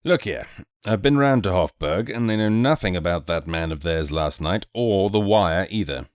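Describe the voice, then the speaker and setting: A man voicing a bewildered, excitable English nobleman with an agitated, spluttering manner in an audiobook story. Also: low-pitched, moderate pace, clean tone, no room sound, studio quality. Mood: distraught, urgent.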